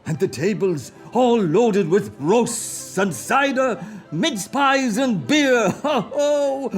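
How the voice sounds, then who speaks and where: High-voiced old miser, man doing him, stammers and quavers, radio play, faint music behind. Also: animated, extremely slow, exaggerated voice. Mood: delighted. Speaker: amateur radio play actor. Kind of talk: radio drama acting.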